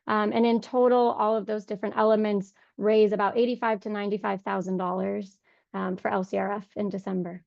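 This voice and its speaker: A young woman with a voice hoarse from a cold, giving an earnest webinar talk on fundraising with many ums. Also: mid-range voice, flat delivery, brisk, darkish tone, dry recording. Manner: frequent ums, earnest and conversational. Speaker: fundraising director. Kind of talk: webinar presentation. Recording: dull lo-fi dry sound.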